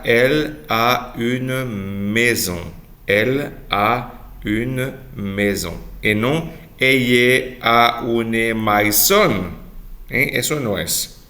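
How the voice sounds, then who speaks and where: A male teacher drilling short phrases at a very slow, deliberate pace. He says each model sentence carefully and repeats it, then stops to correct pronunciation. His pitch sits at an ordinary middle level. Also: sharp tone. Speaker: French teacher.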